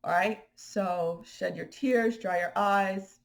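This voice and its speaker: A woman from Haiti talking casually to her viewers in a vlog, animated and indignant, with fillers and restarts. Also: medium pitch, slow, vocal fry. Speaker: spiritual vlogger. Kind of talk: casual spoken commentary to viewers.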